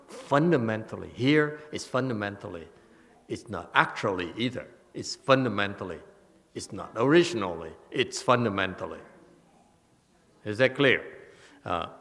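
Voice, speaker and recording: A man giving a Buddhist scripture lecture as a teacher, halting and repetitive, often checking that listeners follow. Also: fairly low pitch, gravelly, fairly bright tone. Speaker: Buddhist teacher, dharma host. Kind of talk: sermon, explanatory scripture lecture. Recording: long echoing hall reverb.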